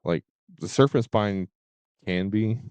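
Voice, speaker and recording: A man talking casually as a game streamer, in a halting way with repeated and stuttered words. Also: on the low side, a little mellow, smooth, no room sound, muffled recording.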